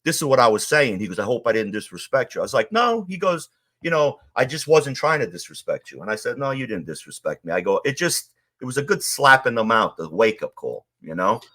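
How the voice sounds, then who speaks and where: A man's voice: medium pitch, sing-song, brisk, bright, forceful tone. A middle-aged man telling stories in a rambling, streetwise way, with many "you know"s, as an interview guest. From Queens, New York. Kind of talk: casual storytelling.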